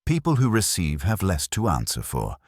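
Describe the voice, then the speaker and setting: Deep-voiced man reading as a prim, guarded woman. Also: huge pitch swings, moderate pace, a little mellow, no room sound, studio quality, exaggerated voice. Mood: curt, reserved. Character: prim, guarded, starchy small-town woman.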